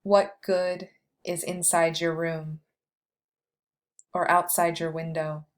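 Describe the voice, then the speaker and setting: A woman guiding a meditation with scripted, poetic narration, delivered in a measured way with long pauses. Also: lowish voice, flat delivery. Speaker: meditation guide.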